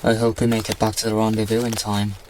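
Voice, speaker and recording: A man's voice: on the low side, monotone, quick pace, slight background noise, natural voice. A man playing an earnest, plain-spoken young titan prince in a fantasy audio drama. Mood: uneasy.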